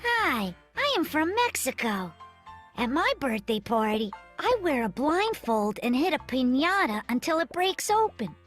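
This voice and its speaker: Female, high and very animated, sing-song kids' narration over light music. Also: measured pace. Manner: bright, sing-song, clearly read. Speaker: narrator of a children's video. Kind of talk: scripted narration for children.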